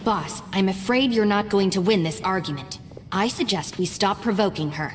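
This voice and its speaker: A woman's voice: medium pitch, moderate pace, natural voice. A woman playing a sensible, long-suffering young gang deputy in a video game, polite and matter-of-fact. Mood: resigned. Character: sensible young female deputy of a gang boss, exasperated, level-headed. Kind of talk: animated video game voice acting. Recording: long reverb with game music behind.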